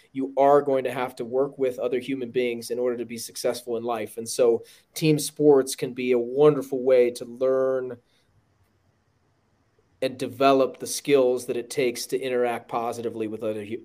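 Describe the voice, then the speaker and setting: A man with a loud voice, talking earnestly and emphatically as a guest in an interview, often restarting his sentences. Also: medium pitch, flat delivery, normal speed. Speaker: podcast guest, running team director. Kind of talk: conversational interview.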